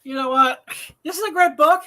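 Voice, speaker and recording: A man's voice: extremely high pitch, moderate pace, bright, forceful tone. A man hosting a live show from home, talking in a rambling, profane way with many fillers, a slightly nasal voice and a dry throat. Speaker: host. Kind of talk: casual live show monologue.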